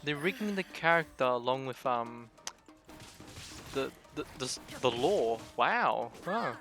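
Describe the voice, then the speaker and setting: A man's voice: medium pitch, animated, measured pace, a bit bright. A man giving casual live commentary as he streams a game, reacting in short muttered remarks. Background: game music.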